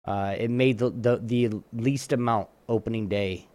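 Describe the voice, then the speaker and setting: A man's voice: mid-range voice, fairly even intonation, measured pace, a little mellow. A man casually hosting a trivia round on a live show, rambling and often rephrasing himself.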